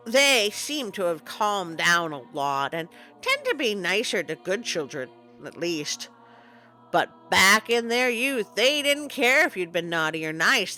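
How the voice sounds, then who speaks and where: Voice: mid-range voice, bright, forceful tone. A storytelling host reading scripted folklore narration in a theatrical, playfully ominous manner. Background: music.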